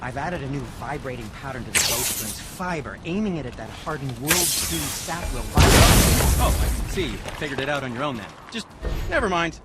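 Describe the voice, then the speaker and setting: An actor playing a fussy, squeamish, easily flustered weaponsmith in a video game, with a prissy, theatrical, stammering delivery. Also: male voice, medium pitch, animated, fairly quick, heavy background noise, close to an everyday voice. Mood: miffed, sarcastic. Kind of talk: video game cutscene acting.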